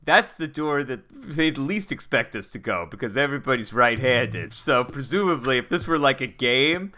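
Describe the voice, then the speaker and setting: A man's voice: medium pitch, lively intonation, moderate pace, sharp tone. A man playing a tabletop game, chatting in a slangy, rambling, playful improvised role-play style.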